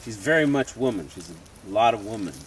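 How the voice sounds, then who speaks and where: A middle-aged man, a sculptor, chatting and telling a long anecdote in a relaxed interview. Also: lowish voice, fairly quick, slight background noise. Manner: chatty anecdotal storyteller, laughs often. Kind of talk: casual storytelling interview.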